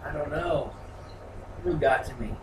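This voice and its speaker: A man voicing a grieving young warrior, speaking slowly in a quiet, halting voice, recorded from a distance in a roomy space. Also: a little high, natural voice. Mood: shaken. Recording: roomy, distant mic with background noise.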